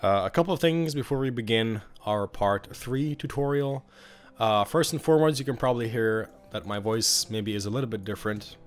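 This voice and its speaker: Slightly sick young man with a low voice. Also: fairly quick. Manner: relaxed, rambling with many uh and um. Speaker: game tutorial host. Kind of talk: casual screen-recorded game tutorial.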